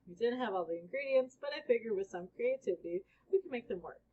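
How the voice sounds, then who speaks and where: Husky woman reading a children's story. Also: fairly high pitch, sing-song, quick pace, mellow. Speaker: storybook reader. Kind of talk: reading a children's book aloud. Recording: dull, lo-fi recording.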